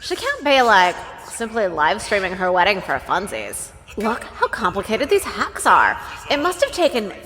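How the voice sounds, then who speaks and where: In a reverberant space with light music, a young female sidekick with an animated, mid-pitched voice. She is bubbly and slangy, casual amid spy-comedy business. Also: moderate pace, slight background noise. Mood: puzzled. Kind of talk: comedic spy audio drama. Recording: long reverberant room sound.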